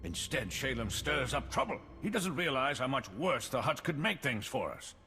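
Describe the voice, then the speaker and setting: Anxious, placating official, reverberant. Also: male voice, medium pitch, big pitch swings, normal speed, sharp tone, soft background music. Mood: earnest, resigned. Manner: earnest, placating. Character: anxious male civilian official, pleading and pragmatic appeaser. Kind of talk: scripted game acting.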